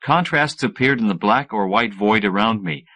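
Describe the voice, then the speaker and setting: A man reading first-person fiction as an audiobook narrator, in an expressive scripted style. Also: lowish voice, moderate pace.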